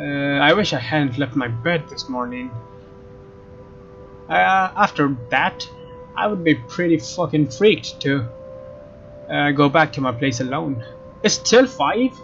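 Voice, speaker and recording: A young male let's player with a slightly high voice reads game text dramatically and slips into casual commentary. The recording is a little dull, with soft music underneath. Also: lively intonation, measured pace, long pauses. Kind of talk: dramatic read-aloud with casual gameplay commentary. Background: faint game music.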